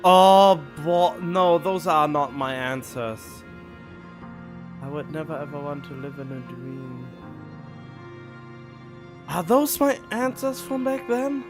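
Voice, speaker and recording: A woman's voice: low voice, slow pace, pauses often, over music. A woman streaming a game, reacting casually and informally while thinking aloud and questioning herself.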